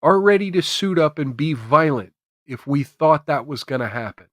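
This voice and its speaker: Man, American accent, fairly high pitch, dry sound, emphatic opinionated streamer, repetitive phrasing. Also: lively intonation, moderate pace, no room sound. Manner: emphatic, repeats phrases for effect. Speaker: host of a live political commentary stream. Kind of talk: opinionated political commentary to camera.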